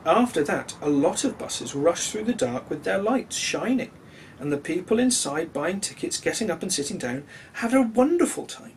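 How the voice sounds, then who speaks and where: Very animated man reading children's stories. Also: high-pitched, normal speed, slight background noise, poor audio. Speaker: children's storyteller. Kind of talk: expressive children's story reading. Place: small cluttered living room, indoors.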